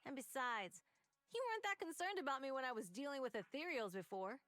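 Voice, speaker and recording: High, bright, brash young heroine. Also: female voice, lively intonation, brisk, dry recording. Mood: reassuring, boastful. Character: brave, brash, strong young woman fighter. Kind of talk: video game voice acting. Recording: game music behind the voice.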